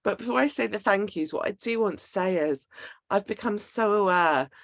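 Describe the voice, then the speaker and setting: A woman's voice: medium pitch, moderate pace, vocal fry, no room sound, studio quality. A woman hosting a discussion event in an informal, spoken style. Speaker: host.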